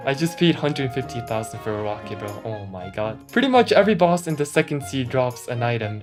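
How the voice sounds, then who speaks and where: A man's voice run through a voice changer over clearly audible background music. He gives casual gameplay commentary as a YouTuber, talking in slang and grumbling mildly, at a medium pitch. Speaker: gaming YouTuber. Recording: music mixed under the voice.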